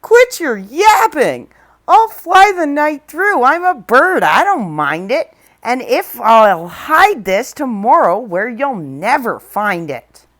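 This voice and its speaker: A woman voicing a mean, mighty male eagle who sneers and taunts loudly. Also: mid-range voice, very animated, slow pace, few pauses. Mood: sneering taunting. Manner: sneering, loud.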